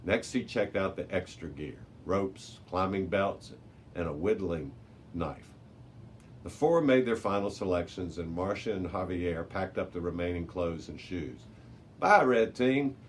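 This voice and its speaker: A man's voice: low-pitched, unhurried. A man reading a children's adventure story aloud as a library narrator, with the odd small stumble. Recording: noticeable background noise.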